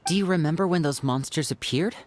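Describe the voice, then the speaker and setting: An actress playing a grave, courteous, dutiful adventurer who speaks formally and deliberately. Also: female voice, low-pitched, darkish tone, natural voice. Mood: serious, focused.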